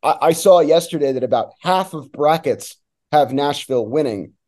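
A man's voice: on the high side, animated, normal speed, a little mellow. A man giving casual, opinionated sports analysis as an interview guest, in an informal conversational way. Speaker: guest, soccer play-by-play announcer.

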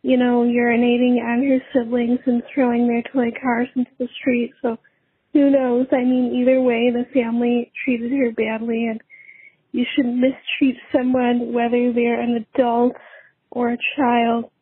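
High, flat-toned woman on the phone. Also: a little slow, husky, dry recording. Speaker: caller leaving a message. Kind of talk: casual chat. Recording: tinny phone line voicemail.

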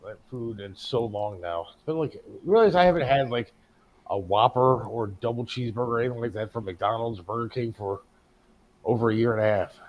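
Complaining American man, medium pitch. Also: a little fast, highs cut off. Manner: profane, complaining. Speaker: talk show co-host. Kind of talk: casual chat.

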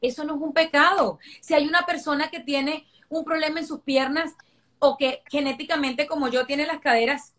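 High-voiced Venezuelan woman, emphatic. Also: steady tone, normal speed, fairly bright tone. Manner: emphatic, repeats points for stress. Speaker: guest. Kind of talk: conversational interview.

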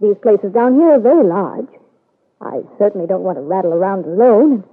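A woman's voice: mid-range voice, expressive, moderate pace, breathy edge. An actress playing a breezy, self-assured, sociable married woman on vacation in an old radio soap. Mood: casual, persuasive. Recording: muffled narrow-band vintage radio recording.

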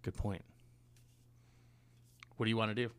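A man's voice: on the low side, fairly even intonation, normal speed, clean tone, no room sound, clean studio sound. A man co-hosting a podcast in casual, easygoing chat with a joking manner. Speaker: podcast co-host and guitarist.

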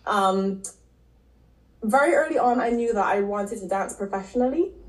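A woman from Barbados, a podcast guest and health coach, telling her story in a relaxed, casual way with frequent ums and likes. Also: medium pitch, normal speed. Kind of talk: casual interview talk. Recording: echoey room.

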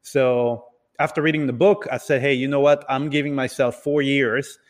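A man who grew up in Italy and other countries, telling his story casually as an interview guest in a halting, hesitant way. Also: mid-range voice, steady tone. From Italy and several other countries. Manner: hesitant, halting delivery with repeated words. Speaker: podcast guest, entrepreneur. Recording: reverberant, hall-like room sound.

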